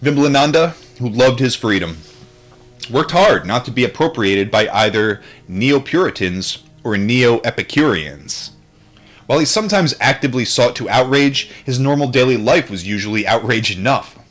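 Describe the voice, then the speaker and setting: A man's voice: mid-range voice, expressive, moderate pace, fairly bright tone, faint music behind. A man reading a book aloud and adding his own casual, rambling commentary, with wry and reflective asides.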